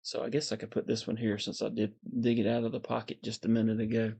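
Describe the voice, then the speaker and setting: A man with a calm, soothing voice, hosting a casual livestream, reading viewer comments in a relaxed, meandering way. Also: fairly low pitch, fast, creaky, husky. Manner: relaxed, meandering, frequent fillers and soft chuckles. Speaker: livestream host.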